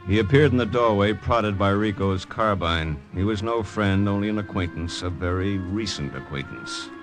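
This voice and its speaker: A man acting as a hard-boiled agent in an old radio drama, with a low voice. He narrates and speaks in terse, clipped, dramatic phrases, with prominent music on the vintage recording. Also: normal speed, close to an everyday voice. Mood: tense narrating. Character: hard-boiled male agent telling his own wartime story.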